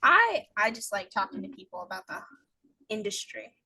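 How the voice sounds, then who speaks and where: A woman's voice: fairly high pitch, very animated, normal speed, vocal fry, bright, forceful tone, slight background noise. A woman hosting a podcast, chatting casually with a guest and often filling her sentences with "like.". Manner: chatty, many "like" fillers. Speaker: podcast host. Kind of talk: casual interview chat.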